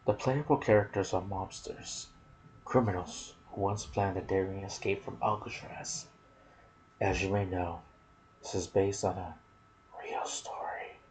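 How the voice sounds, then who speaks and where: A man giving soft-spoken ASMR commentary on a video game, slipping into whispers and pausing between short phrases. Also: sits low, steady tone, slight background noise, rough recording. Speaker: gaming channel host.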